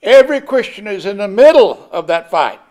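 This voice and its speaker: Older man, high-pitched preacher, builds emphasis, chuckles now and then, pulpit mic. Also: sing-song, moderate pace, darkish tone. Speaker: pastor. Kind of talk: sermon. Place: church sanctuary at a pulpit. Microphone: pulpit microphone.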